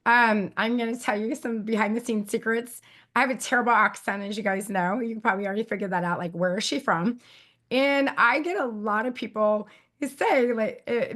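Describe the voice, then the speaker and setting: A woman with a raspy, squeaky voice, chatting casually as an interview guest and giving advice with lots of fillers and emphatic repeats. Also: a bit bright. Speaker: guest YouTube expert.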